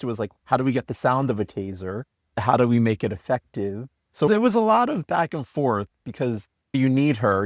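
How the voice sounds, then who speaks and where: A man co-writing the show, chatting casually in an earnest way that trails off softly. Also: medium pitch, no room sound, clean studio sound. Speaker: co-writer. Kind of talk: casual chat.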